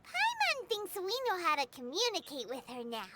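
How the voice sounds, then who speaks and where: A woman voicing a tiny, excitable female fairy companion in a video game, chirpy and curious, with a squeaky, childlike sound. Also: normal speed, very noisy, exaggerated voice. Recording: game audio under loud music.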